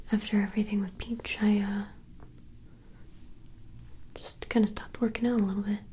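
A young woman playing a fantasy woman who is puzzled by modern things, whispering softly into a close mic with dramatic, playful reactions. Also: medium pitch, a little hiss or hum, natural voice, low-quality recording. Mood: curious. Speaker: ASMR roleplayer. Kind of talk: ASMR roleplay.

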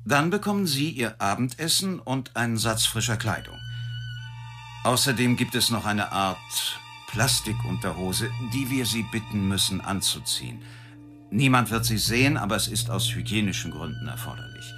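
An actor playing a formal, businesslike prison official in a radio play, measured and procedural. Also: male voice, fairly low pitch, wildly swooping pitch, pushed and bright, exaggerated voice. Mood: businesslike, grave. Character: businesslike prison official briefing a condemned man. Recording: music behind the voice.

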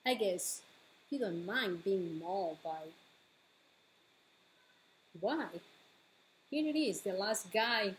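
A middle-aged woman reading a picture book aloud to preschoolers in an expressive, deliberate, bilingual storytime manner, recorded at home. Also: medium pitch, slight background noise, rough recording. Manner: expressive, deliberate, switching between English and Spanish. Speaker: children's librarian, storytime host. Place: home living room.

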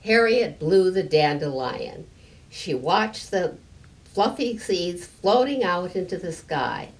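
A woman in her eighties reading a children's storybook aloud, haltingly, now and then repeating a word. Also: lowish voice, big pitch swings. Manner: halting, stumbling and repeating words. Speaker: story time reader. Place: library sitting area indoors.

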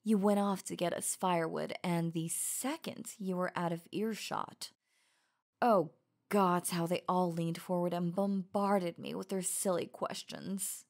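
Slow, sultry werewolf huntress acted by a woman. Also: lowish voice, steady tone, a little mellow. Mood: playful, amused. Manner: slow, breathy, intimate, often dropping to whispers. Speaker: audio roleplay voice actor. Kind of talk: ASMR roleplay.

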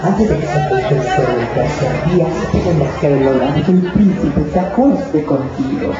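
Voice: mid-range voice, animated, moderate pace, heavy reverb, natural voice. A performer voicing a bawdy, domineering sea witch in a live musical parody, theatrical and mocking, half singing. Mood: sly, bargaining. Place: outdoor night stage, live show. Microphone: distant audience camera. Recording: far, muffled live recording, loud music and crowd.

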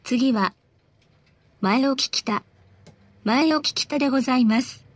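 A woman reading scripted bus announcements in a polite, formal, measured way. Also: high voice, no echo.

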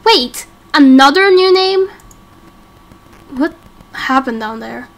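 A woman's voice: sits high, animated, slow, a bit bright, heavily acted. A woman voicing a worried, caring female companion in a game roleplay, emotional and anxious. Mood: alarmed.

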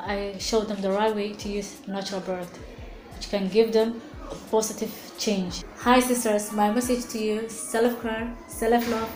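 A woman's voice: mid-range voice, darkish tone. Cheerful woman dermatologist in a roomy space. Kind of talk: interview to camera. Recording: roomy echo with music. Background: music.

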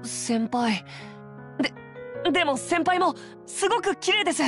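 A man's voice: very high voice, expressive, measured pace, fairly bright tone, exaggerated voice. A man playing a shy, earnest teenage boy in an anime visual novel game, speaking hesitantly and politely with an eager manner. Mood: flustered, shy. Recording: background music under the voice.

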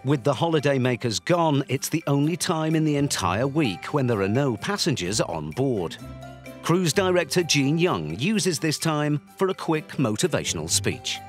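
A male documentary narrator reads scripted voice-over. His pitch is animated and sits in a middle range, with music playing clearly underneath. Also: normal speed. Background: background music.

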